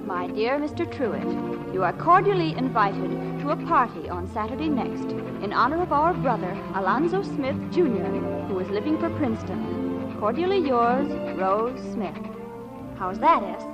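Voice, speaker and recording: Casting: assured, affected elder sister, high lively voice, vintage radio drama. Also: female voice, normal speed, lo-fi sound, loud music behind the voice. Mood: formal, reading aloud. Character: confident, scheming older sister, young society girl. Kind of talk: 1940s radio acting.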